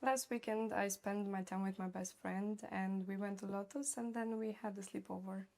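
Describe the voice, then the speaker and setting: A woman's voice: mid-range voice, fairly even intonation, normal speed, darkish tone. Young Romanian-accented woman, brief answers. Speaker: exam candidate.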